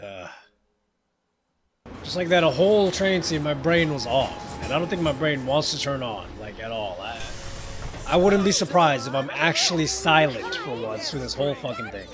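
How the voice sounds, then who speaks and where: A man's voice: medium pitch, big pitch swings, moderate pace. Tired sarcastic young guy streaming. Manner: tired, sarcastic, swears a lot. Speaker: game streamer. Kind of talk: casual gameplay commentary. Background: game music.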